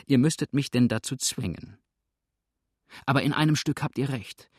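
A man's voice: medium pitch, normal speed, husky, no echo, lightly acted. Man playing a grim, hunted outlaw, dry sound. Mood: cold menace. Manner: grim, cursing, threatening, sneering. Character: hunted, ruthless male fugitive outlaw, exhausted and menacing. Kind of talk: audiobook character acting.

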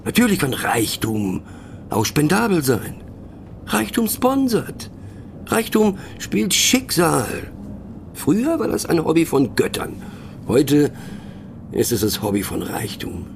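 A man's voice: a little high, wildly swooping pitch, slow pace, creaky, slight background noise, full character voice. A man playing a pensive, mocking narrator in a satirical radio play monologue, speaking ironically with conversational, questioning asides. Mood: wry, mocking. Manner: ironic, rhetorical, punctuated with little questioning tags. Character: wry, pensive, mocking male narrator musing on words.

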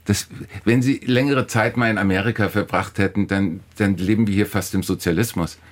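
A man's voice: on the low side, steady tone, fairly quick. A man speaking as an academic guest in a discussion, explaining and arguing emphatically, often restarting and repeating phrases. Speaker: philosophy professor, guest.

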